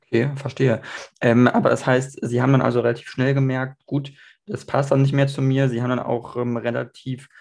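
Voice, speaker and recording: Hesitant male interviewer with gravelly voice. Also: mid-range voice, little pitch movement, a little fast, darkish tone. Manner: hesitant, many fillers. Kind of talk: interview questions.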